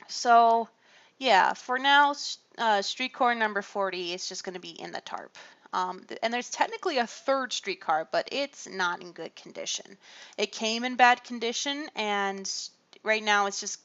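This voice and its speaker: A woman chatting casually to her livestream viewers while she draws colouring pages. She rambles along with a gravelly voice, lots of fillers and little laughs. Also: mid-range voice, measured pace, fairly bright tone, muffled recording. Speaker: streamer drawing colouring pages.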